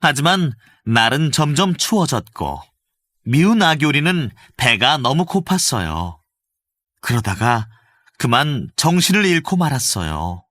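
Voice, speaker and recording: A male voice actor narrating a children's fairy tale with gentle, expressive storytelling, recorded close in a studio. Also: mid-range voice, unhurried, dry recording.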